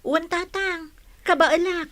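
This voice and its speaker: An actress playing a dutiful, caring young daughter in an Ilocano radio drama, earnest and insistent. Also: female voice, very high voice, steady tone, measured pace, a bit bright, no room sound.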